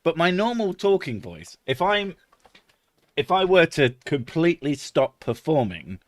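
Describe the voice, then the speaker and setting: A man's voice: very animated, a little slow. A man, chatting casually as a podcast host, swearing freely and laughing at himself. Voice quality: deep natural voice. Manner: swears freely, laughs often, self-mocking. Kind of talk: casual chat.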